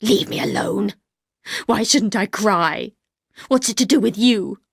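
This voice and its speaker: Woman reader doing a harsh, cold older housekeeper; low, slow and accusing, dry close sound. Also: lively intonation, no echo, natural voice. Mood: scornful.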